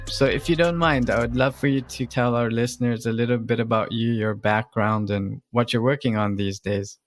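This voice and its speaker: A man's voice: medium pitch, fairly even intonation, normal speed, faint music behind. A man hosting an interview podcast, putting questions to a guest in a conversational way. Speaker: podcast host.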